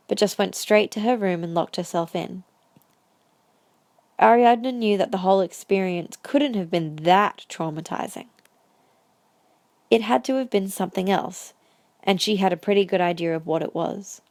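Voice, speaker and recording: A woman narrates a scripted audio drama in story prose. Her voice has a medium pitch and the sound is close and very dry. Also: normal speed, darkish tone, no room sound.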